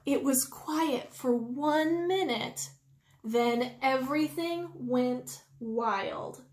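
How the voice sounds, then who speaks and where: A woman reading a children's story aloud expressively, with deliberate, drawn-out emphasis. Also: sits high, a little mellow. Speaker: storytime reader. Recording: roomy indoor echo.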